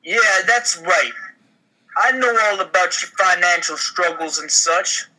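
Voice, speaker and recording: A man's voice: sits high, unhurried, sharp tone, heavily acted, low-quality recording. A man acting a slick, pushy, sleazy mafia recruiter in an amateur comedy table read. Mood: slick, coaxing.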